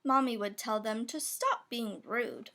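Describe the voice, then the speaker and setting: A woman's voice: fairly high pitch, a little slow, sharp tone. A woman reading a rhyming children's picture book aloud, with playful emphasis on the sound words. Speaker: storybook reader.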